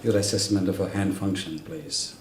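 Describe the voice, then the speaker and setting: A man's voice: low voice, steady tone, normal speed. A man acting as a medical examiner, putting oral exam questions to a candidate. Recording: echoey room recording with faint noise.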